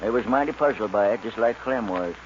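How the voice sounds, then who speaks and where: An actor playing an easygoing, plain-spoken western storyteller, narrating a 1940s radio drama. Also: male voice, on the low side, fairly quick, natural voice. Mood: folksy storytelling. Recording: muffled hissy 1940s radio recording.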